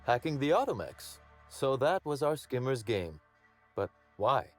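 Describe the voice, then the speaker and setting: An actor playing a polished underworld boss in a video game, speaking formally and articulately with wry menace. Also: male voice, medium pitch, very animated, unhurried, soft background music. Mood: impressed, intrigued. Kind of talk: video game character dialogue.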